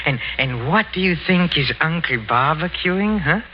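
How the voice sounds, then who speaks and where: A man guesting on a 1940s radio sitcom, speaking in a soft, sinister-polite way. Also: on the high side, animated, moderate pace, slight background noise. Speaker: guest star playing himself. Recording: dull old radio broadcast.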